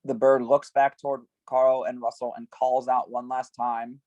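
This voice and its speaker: A man's voice: mid-range voice, little pitch movement, normal speed, darkish tone, no room sound, muffled recording. A man reading stage directions at a script table read, giving scene headings some emphasis.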